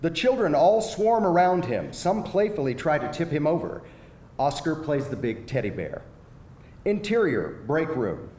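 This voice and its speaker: A man's voice: fairly high pitch, big pitch swings, a little slow, mellow. A man narrating stage directions in a live screenplay reading. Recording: echoey hall, faint background noise.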